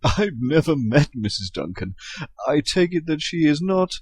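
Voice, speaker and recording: An actor playing a nosy, dryly absurd British colleague in a comedy audio drama, with a British accent. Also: male voice, on the high side, sing-song, moderate pace, husky, no echo. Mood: droll, curious.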